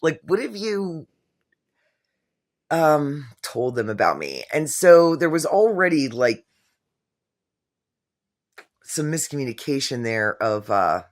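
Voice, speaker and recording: A middle-aged woman with a very low voice tells a personal story to camera in a casual, rambling way, full of fillers and self-corrections. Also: sing-song, slow pace, long pauses. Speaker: vlogger telling her own story.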